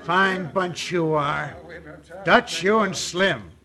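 An actor playing a bullying, hot-tempered gang boss in an old radio western, barking and scornful. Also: male voice, high voice, slow pace, a crowd in the background. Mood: scornful, menacing.

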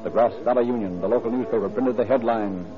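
A man's voice: lowish voice, fast talker, close to an everyday voice. A man playing a solemn, formal narrator of an old-time radio crime drama, with dramatic scripted delivery. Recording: dull old radio recording. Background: music.

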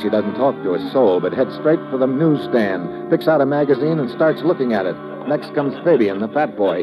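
An actor playing a wry, hard-boiled American secret agent in old radio drama style, narrating and trading clipped wisecracks. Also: male voice, mid-range voice, natural voice. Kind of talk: 1950s radio drama acting and first-person narration. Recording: muffled old radio recording with music.

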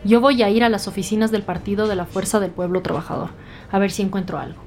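A woman's voice: mid-range voice, steady tone, fast, over music, natural voice. A woman playing a direct, insistent detective with a businesslike, probing manner in an audio drama.

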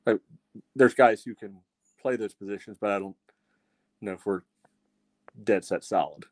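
A man with a slightly low voice speaks close and dry, with no room sound. He gives casual sports analysis as a co-host, in a measured way that qualifies each opinion. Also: no room sound. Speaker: co-host of a soccer fan show.

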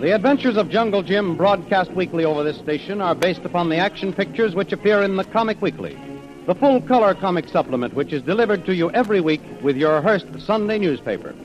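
A man's voice: sits high, animated, fairly quick. A man narrating a 1930s radio adventure serial as its announcer, reading from a script. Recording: dull old radio recording with music. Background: music.

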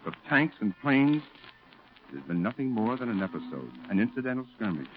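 A solemn military chaplain narrates a war diary in a low, measured voice. It is old-time radio drama, thin and tinny, with prominent music behind him. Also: male voice.

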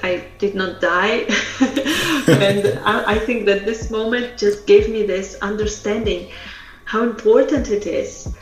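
A woman from Russia, a public speaking trainer telling her story as an interview guest, slow and thoughtful with many hesitations. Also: mid-range voice. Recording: roomy echo, dull lo-fi sound, faint music.

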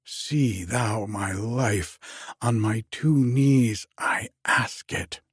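A man's voice: fairly low pitch, drawn-out words, breathy edge, no room sound, clean studio sound, full character voice. A man voicing an anguished young lover in a storybook tale, pleading fearfully in archaic, tremulous speech.

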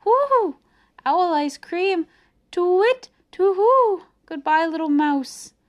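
A woman voicing a silly, flighty storybook owl in a hooting, drawn-out, exclamatory way. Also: very high voice, lively intonation, voice pushed up, full character voice. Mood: panicked. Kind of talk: children's storybook character voice.

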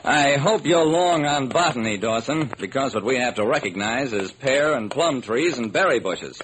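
Man acting a wry, animated radio detective. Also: mid-range voice, normal speed, lightly acted. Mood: inquisitive. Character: wry, sharp-witted detective hero, adult man. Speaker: narrator and lead actor. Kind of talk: old-time radio detective narration and acting. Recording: noisy vintage radio recording.

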